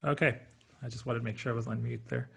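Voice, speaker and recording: A man from Tanzania who later lived in Canada and Australia, speaking as a panelist in an earnest, reflective way with hesitant pauses. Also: mid-range voice, fairly even intonation, creaky, fairly bright tone, slightly rough. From born in Tanzania, later Canada and Australia. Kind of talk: reflective personal introduction.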